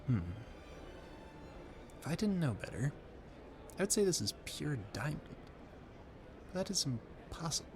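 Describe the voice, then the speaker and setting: A man playing a polite, flustered gentleman at a Gilded Age ball in an audio roleplay, effusive and rambling, stammering through formal talk. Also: lowish voice, creaky, noisy background. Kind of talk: audio roleplay addressed to the listener.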